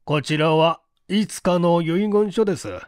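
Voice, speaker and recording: A woman voicing a composed, courteous male detective chief in an audiobook, explaining in a deliberate, emphatic and formal way. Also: on the low side, darkish tone, no room sound, clean studio sound, natural voice. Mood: calm, firm. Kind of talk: storybook dialogue in audiobook reading.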